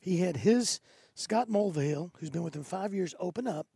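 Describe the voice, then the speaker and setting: A man's voice: high voice, expressive, normal speed, creaky, dark tone, breathy edge, no echo, clean studio sound. A man hosting an interview, chatting enthusiastically and often saying "dude". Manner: enthusiastic, says "dude" a lot. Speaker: interview host and concert organizer. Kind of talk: casual interview chat.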